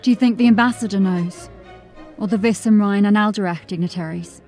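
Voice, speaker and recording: A woman's voice: medium pitch, fairly quick, faint music behind, lightly acted. A woman playing a dry, watchful constable in a fantasy audio drama, with understated delivery. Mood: dry, observant. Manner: deadpan, understated.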